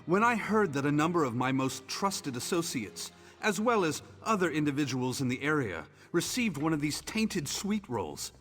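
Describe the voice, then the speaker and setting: An actor playing a smarmy, flirtatious male merchant in a video game, with a husky, oily delivery. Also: a little high, big pitch swings, normal speed, few pauses, fairly bright tone, big-room sound, faint music behind. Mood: scheming. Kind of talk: video game character dialogue.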